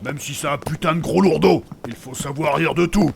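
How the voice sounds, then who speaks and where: A man's voice: fairly high pitch, normal speed, vocal fry, background noise. A man playing a coarse, mocking nomad warrior, a big brute with a blunt, crude way of talking, in a comedic fantasy audio drama.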